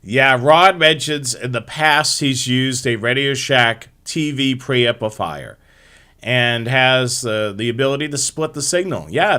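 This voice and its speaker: A man's voice: medium pitch, bright, forceful tone. A man hosting a live hobby show, explaining things step by step in an informal slideshow presentation.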